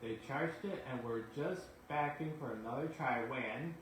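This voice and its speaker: A man's voice: mid-range voice, unhurried, recorded from a distance. A man reading children's bedtime stories aloud as a narrator in a reverberant room. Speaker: storyteller. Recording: very echoey room, faint noise.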